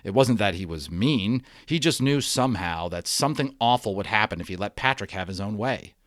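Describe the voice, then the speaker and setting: A man's voice: lowish voice, expressive, a little fast, no echo, studio quality. A man reading a children's novel aloud as a dramatic narrator, theatrical, with informal asides to his listeners. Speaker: storybook reader.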